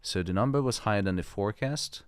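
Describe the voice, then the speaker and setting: A man's voice: lowish voice, fast, clean tone, no room sound. A man hosting a live trading stream, chatting casually, swearing freely and explaining the market at length.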